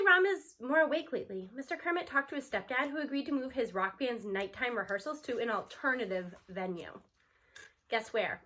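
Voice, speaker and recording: A woman's voice: on the high side, bright, forceful tone. An American woman reading a children's novel aloud, telling it expressively in the first person as a teenage narrator. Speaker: storybook reader.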